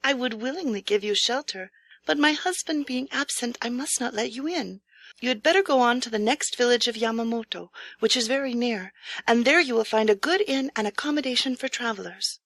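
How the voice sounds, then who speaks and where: A woman's voice: on the high side, brisk, a bit bright, dry recording. A woman voicing a modest, politely firm wife in an audiobook tale, formal and apologetic. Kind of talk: audiobook character voice.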